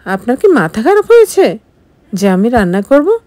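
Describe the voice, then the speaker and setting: A woman's voice: fairly high pitch, big pitch swings, moderate pace, mellow, no echo. A woman voicing a haughty, dismissive young second wife in an audio story, curt and scornful.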